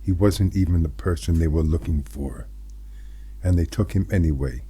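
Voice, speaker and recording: A middle-aged American man hosting scripted political commentary, speaking in an earnest, emphatic way with deliberately set-apart phrases. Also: sits low, dark tone, husky. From United States. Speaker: host of a commentary channel.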